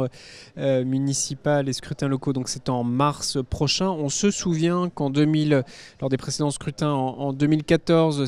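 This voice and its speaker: Male interviewer, forties, French accent, slightly high pitch, crisp smooth voice, questioning. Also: darkish tone. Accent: French from France. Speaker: interviewer, host. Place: indoor interview set at a convention. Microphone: handheld microphone.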